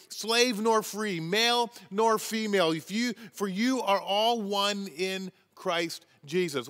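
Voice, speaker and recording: A Canadian pastor in his forties preaches with a high, bright voice that has a forceful edge. He is earnest and emphatic, pressing each point home. His words ring out with a long reverb from the church hall. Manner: earnest, emphatic, gestures constantly while preaching. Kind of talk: sermon. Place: church stage with wooden wall, large hall.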